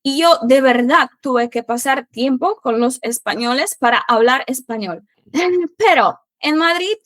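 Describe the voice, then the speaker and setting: Young polish woman, polish accent, slow and halting, keeps pausing for words, slightly high voice. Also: a bit bright, no echo. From Poland. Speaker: guest, Spanish teacher. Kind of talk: casual interview answers.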